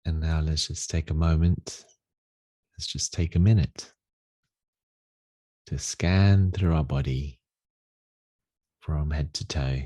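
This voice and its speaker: A man leading a guided meditation in a gentle, unhurried, soothing manner with long pauses. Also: extremely low pitch, no echo. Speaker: meditation teacher.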